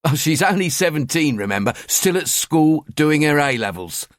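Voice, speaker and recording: A man putting on a sharp-tongued East London wife. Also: fairly high pitch, expressive, moderate pace, no room sound, clean studio sound, voice pushed up, lightly acted. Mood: scolding. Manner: scolding, sarcastic.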